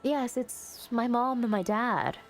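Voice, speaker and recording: A woman's voice: fairly high pitch, slow, faint music behind. Woman voicing a worried, tender young runaway. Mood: wistful.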